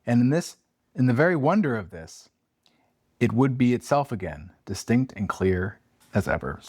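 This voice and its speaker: A man's voice: medium pitch, very animated, moderate pace, a little mellow, dry recording, studio quality. A man co-hosting a casual book chat, reading aloud and commenting with playful, joking asides. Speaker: podcast co-host reading a classic aloud.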